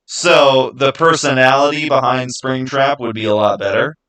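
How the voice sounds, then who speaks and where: A man with a bright, punchy voice at an ordinary male pitch talks off the cuff as a video host. He gives opinions on games in a casual way. He leans on his points emphatically, often restating a phrase to drive it home. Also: fairly even intonation, moderate pace. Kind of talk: casual unscripted gaming commentary.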